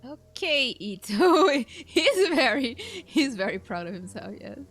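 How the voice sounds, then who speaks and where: A woman's voice: sits high, sing-song, slow pace, a bit bright, soft background music. A woman gaming streamer giving casual let's-play reaction commentary.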